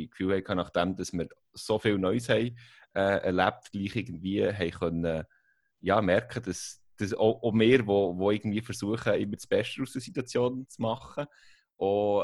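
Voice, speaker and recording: Swiss German young man, very deep fry-edged voice, dry sound, hesitant pace on a video call. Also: dry recording. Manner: hesitant, many fillers and restarts. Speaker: student abroad. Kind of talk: casual group call.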